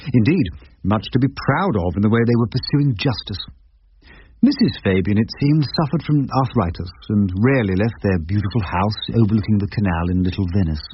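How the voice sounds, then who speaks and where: A low-pitched, wry older English barrister played by a male actor for radio drama. He is literate and measured, with lively intonation. The recording is muffled, with clear background noise. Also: natural voice. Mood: wry, reflective. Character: wry, eloquent ageing English criminal barrister. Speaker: lead actor and narrator. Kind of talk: radio drama dialogue and first-person narration.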